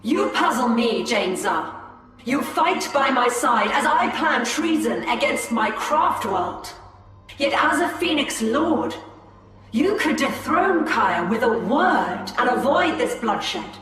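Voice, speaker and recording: Macha, a proud elf seer. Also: female voice, fairly high pitch, very animated, exaggerated voice. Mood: measured. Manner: measured, solemn. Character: scheming female elf seer, calm and proud. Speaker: Farseer. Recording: echoey hall, from far off, loud music.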